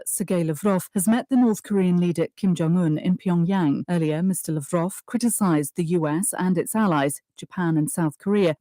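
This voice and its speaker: A woman's voice: on the low side, dark tone, no echo. A woman reading a formal scripted international news summary.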